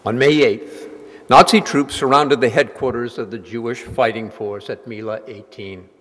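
A man's voice: mid-range voice, normal speed, fairly bright tone, natural voice. A man performing as the grave narrator of a wartime dramatic reading. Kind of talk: solemn scripted narration. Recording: long hall reverberation.

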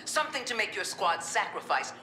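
An actress playing a stern, ruthless government commander in a video game, blunt, contemptuous and commanding. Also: female voice, mid-range voice, very animated, a little fast, reverberant, background noise, lightly acted. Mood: blunt, impatient. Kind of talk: dramatic video game voice acting.

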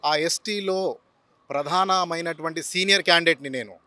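A man's voice: a little high, normal speed, pushed and bright, dry recording. A man in his forties with a Telangana Telugu accent, a politician giving a formal interview statement in long listing sentences outdoors. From Telangana, India. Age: 40s. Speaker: lawyer and politician seeking candidacy. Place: outdoors on a city roadside. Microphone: handheld reporter's microphone.

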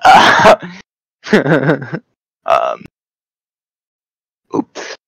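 A young man chatting casually in short, hesitant replies with halting delivery. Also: medium pitch, huge pitch swings, vocal fry, a bit bright, husky, dry recording. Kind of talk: casual chat.

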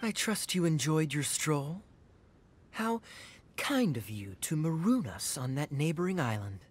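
A male actor voicing a suave young swordsman in a video game, sly and teasing, with smooth, theatrical, sardonic delivery. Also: on the high side, big pitch swings, measured pace, a little hiss or hum. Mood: teasing, sarcastic.

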